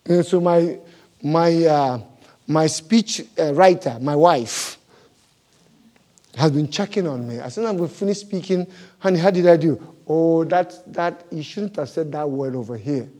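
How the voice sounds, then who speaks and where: Animated pastor with a non-native English accent. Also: male voice, a little high, measured pace. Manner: animated, laughing storyteller, big swings in loudness. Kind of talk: sermon.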